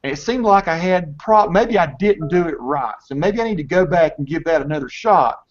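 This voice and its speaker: A man's voice: a little high, expressive, fairly quick, slight background noise. Chatty Southern man in his fifties. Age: 50s. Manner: chatty, repeats words as he restarts. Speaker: host. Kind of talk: casual video call chat. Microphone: video call. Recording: dull lo-fi video call audio.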